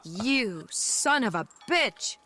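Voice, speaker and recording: A woman's voice: on the high side, animated, very slow, bright, forceful tone, soft background music, full character voice. An actress playing an unhinged, vicious henchwoman in an audio drama, with a manic, spiteful, hissing delivery. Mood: agitated, furious.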